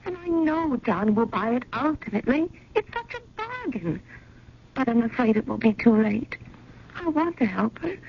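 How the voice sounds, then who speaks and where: A woman's voice: on the high side, very animated, moderate pace. An actress playing an earnest, anxious, genteel woman in a 1970s radio drama, speaking in a refined, fretful way. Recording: muffled old radio, faint noise.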